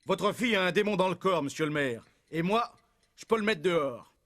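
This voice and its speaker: A man voicing a cheeky, crafty young villager in a French animated storybook cartoon. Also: sits high, brisk. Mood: confident.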